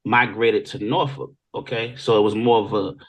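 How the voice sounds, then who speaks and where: A man's voice: fairly low pitch, moderate pace, rough recording. An American man from Virginia, speaking casually and conversationally as an interview guest telling stories. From Virginia Beach, Virginia, United States. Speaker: interview guest, music industry veteran.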